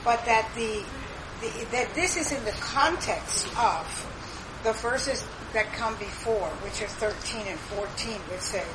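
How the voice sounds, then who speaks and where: A woman's voice: on the high side, measured pace, crowd noise. A woman thinking aloud as she shares a reflection at a church meeting. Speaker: church member sharing. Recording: noisy recording.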